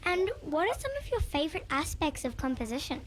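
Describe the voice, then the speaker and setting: A woman's voice: sits high, big pitch swings, a little fast, a little hiss or hum. A woman co-hosting a radio interview, plainly reading out questions.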